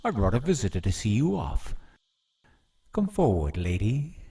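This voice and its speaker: A man playing a cruel, scheming prince in a fantasy radio play, with a mocking, theatrically menacing and drawn-out delivery. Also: lowish voice, sing-song, gravelly, dark tone, slightly rough, far from the mic, full character voice. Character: cruel scheming prince, gloating usurper brother.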